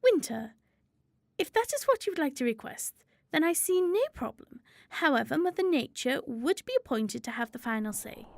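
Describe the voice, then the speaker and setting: A woman playing Spring as a prim, officious season spirit in an amateur radio drama, speaking formally and with measured care. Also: sits high, big pitch swings, full character voice. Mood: formal, composed. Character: Spring, prim orderly female season spirit chairing a meeting. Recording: clear noise with birdsong.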